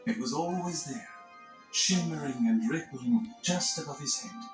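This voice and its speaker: A man reading scripted children's read-along narration in an expressive, dramatic storytelling manner. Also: a little high, unhurried. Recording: echoey narration over music. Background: music.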